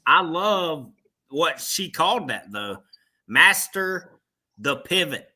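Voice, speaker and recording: Male co-host, unhurried and emphatic, sharp bright timbre. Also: on the high side, very animated. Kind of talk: casual morning show chat.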